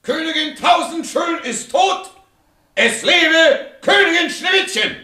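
A dutiful royal huntsman with a very high, drawn-out proclaiming voice. It is heard distant in a strongly echoing, noisy space, in children's radio play style. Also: expressive, exaggerated voice. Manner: loud, proclaiming, officious.

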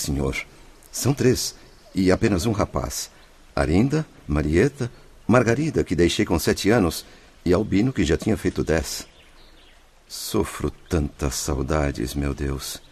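A man's voice: deep voice, creaky, a little mellow, husky, heavily acted. An actor in a radio drama playing an anguished spirit who formally and emotionally implores, longing for his family. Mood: tender longing. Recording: music behind the voice.

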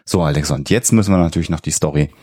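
A man's voice: extremely low pitch, very fast, mellow, dry recording, studio quality. A man co-hosting a podcast, chatting casually and addressing listeners directly with announcements. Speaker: podcast co-host. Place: home podcast studio. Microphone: headset.

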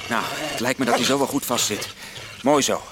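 A man's voice: medium pitch, moderate pace, close to an everyday voice. An actor playing a cheeky but earnest teenage boy in a radio drama. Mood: cocky. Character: plucky, cheeky teenage boy, policeman's son. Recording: noisy radio drama recording.

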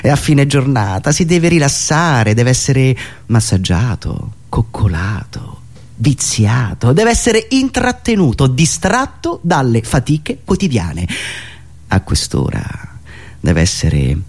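A man from Italy performing a theatrical comic monologue as a satirist, dramatic and emphatic, with drawn-out pauses. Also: mid-range voice, huge pitch swings, a little mellow.

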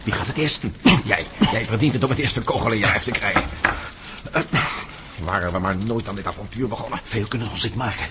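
An actor playing a stubborn, wry adventurer in trouble in a radio drama. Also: male voice, on the low side, fairly quick, natural voice. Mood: tense, resigned. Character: defiant, gritty adventurer hero held by a gang. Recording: very noisy old radio drama recording.

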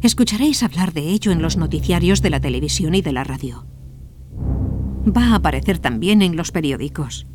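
An actress playing a schoolteacher who speaks gravely and carefully to her pupils in a radio drama. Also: sits low, animated, over music. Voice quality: grave, measured. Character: earnest female schoolteacher, serious and troubled. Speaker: teacher.

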